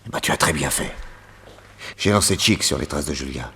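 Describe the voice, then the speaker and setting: An actor playing a cool, wry master detective with deadpan insolence in a radio serial. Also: male voice, very deep voice, brisk, big-room sound, exaggerated voice. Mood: calm, curious.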